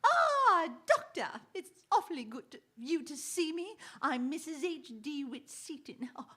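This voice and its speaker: A woman's voice: high-pitched, sing-song, unhurried, pushed and bright, full character voice. A woman playing an anxious, flustered society lady in a radio drama, fretful and effusive, repeating herself as she pleads. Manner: breathless repetition, fretful, effusive. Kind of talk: theatrical radio drama acting.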